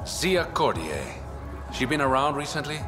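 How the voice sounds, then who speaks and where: An actor playing a smooth, wry, roguish smuggler in a space video game, with a teasing, self-mocking manner. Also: male voice, mid-range voice, very animated, moderate pace, lightly acted. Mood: teasing, evasive. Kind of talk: video game dialogue acting. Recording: music behind the voice.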